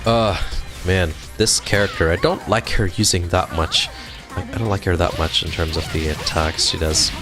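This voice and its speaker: A man's voice: sits low, moderate pace. A man in his twenties chatting casually on a live game stream, reading chat aloud and chuckling now and then. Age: 20s. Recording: game music playing behind the voice.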